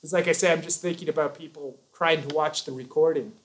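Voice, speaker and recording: A man's voice: on the high side, steady tone, fast talker, low-quality recording. Chatty man hosting a live stream. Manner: chatty, rambling, self-correcting, jokey. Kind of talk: casual live chat reading viewer comments.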